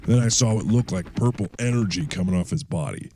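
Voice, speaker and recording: A man playing a blunt, gruff war veteran with a grudge in an audio drama. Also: fairly low pitch, a little fast, warm tone, close to an everyday voice, poor audio. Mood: bitter, intense. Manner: blunt, swearing war storyteller. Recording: battle sound effects, faint noise.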